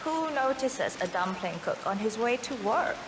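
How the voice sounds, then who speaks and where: A woman's voice: a little high, expressive, normal speed, noisy background, natural voice. A woman playing a polished, businesslike facility official in a video game, speaking formally and courteously as a tour guide. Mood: smooth, courteous. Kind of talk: scripted video game cutscene dialogue. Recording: long hall reverb, music behind.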